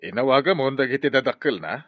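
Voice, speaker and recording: A man's voice: mid-range voice, expressive, fast talker, no room sound, natural voice. A man playing an anxious, well-meaning, troubled man in a radio drama. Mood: concerned. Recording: dull, dry studio sound.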